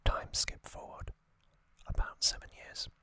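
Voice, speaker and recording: An ASMR host giving a relaxed recap in a soft, unvoiced whisper, with casual fillers and small asides. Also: unhurried, dry recording.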